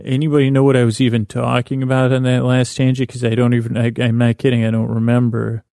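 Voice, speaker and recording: A man with a creaky voice hosting a bedtime podcast, meandering and halting in a lulling, self-deprecating monologue. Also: mid-range voice, completely flat delivery, dark tone, dry recording, clean studio sound. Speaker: podcast host.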